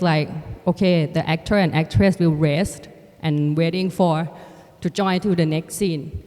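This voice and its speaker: A Thai woman artist and filmmaker, a native Thai speaker, talking reflectively in a halting way with many restarts and fillers. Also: on the low side, warm tone. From Thailand. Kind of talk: reflective conversation. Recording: hall with long reverb.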